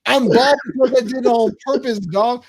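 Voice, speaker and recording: A man in his forties hosting a casual sports podcast, teasing and animated, often restarting his words. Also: very high-pitched, moderate pace. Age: 40s. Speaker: podcast host.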